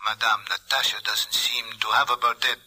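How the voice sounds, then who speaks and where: A man's voice: on the low side, fairly even intonation, normal speed, pushed and bright, slightly rough, exaggerated voice, low-quality recording. A man playing a solemn, aloof attendant to a fortune teller, speaking formally and guardedly in 1940s radio drama.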